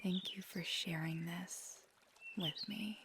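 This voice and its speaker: Slow-talking feisty girlfriend played by a woman, birdsong behind. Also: lowish voice, steady tone. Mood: soft, grateful. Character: feisty, affectionate young magical girlfriend. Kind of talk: ASMR girlfriend roleplay acting. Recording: clear background noise with birdsong. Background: birdsong and outdoor ambience.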